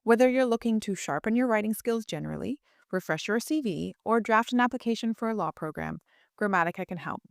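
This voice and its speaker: A woman lawyer and educator giving a polished, measured scripted ad read. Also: medium pitch, animated, creaky, no room sound, studio quality.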